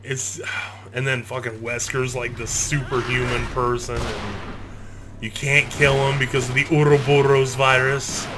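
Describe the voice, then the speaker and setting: A man's voice: medium pitch, fairly even intonation, a little slow, noisy background. A man doing casual let's play commentary, rambling with heavy swearing and sarcasm. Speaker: gaming video host. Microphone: close gaming microphone. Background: game audio.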